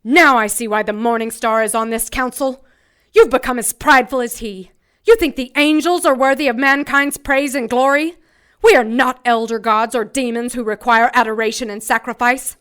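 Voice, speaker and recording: A woman acting a steadfast angel in an audio drama. Her voice is smooth and fairly high, with formal, dignified phrasing. She stands firm and defiant. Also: steady tone, moderate pace, a bit bright, close to an everyday voice. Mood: indignant. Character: principled, defiant female angel, calm and steadfast.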